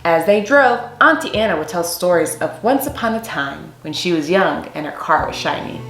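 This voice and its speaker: A young woman reading a children's picture book aloud as a storyteller, with deliberate, expressive phrasing in a small room. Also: fairly low pitch. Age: young adult. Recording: roomy echo, faint music underneath.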